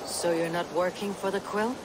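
An actress as a fearless Viking warrior woman. She talks low, terse and menacing in a game scene, with clear ambient noise around her. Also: fairly even intonation, normal speed, close to an everyday voice. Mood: cool, firm. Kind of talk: game cutscene acting. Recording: voice over noisy game ambience.

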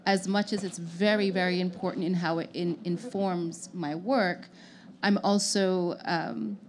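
A woman's voice: lowish voice, steady tone, unhurried. A young woman from Haiti speaking as an artist on a panel, earnest and thoughtful, often restarting her phrases, into a handheld mic in an indoor lounge. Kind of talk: panel discussion. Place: lounge venue with audience, indoors.

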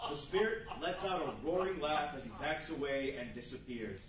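Distant reverberant room, slightly high male voice, scripted radio play narration, faint noise. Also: expressive, normal speed, fairly bright tone. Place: large meeting hall before an audience.

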